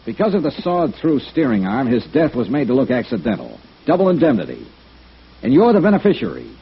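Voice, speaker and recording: A tough blunt private eye, voice swings up and down, muffled old radio sound. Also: male voice, mid-range voice, huge pitch swings, fairly quick, gravelly, slight background noise. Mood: stern, probing. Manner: blunt, probing, hard-boiled. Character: brisk, tough, sharp male freelance insurance investigator. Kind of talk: 1950s radio detective acting.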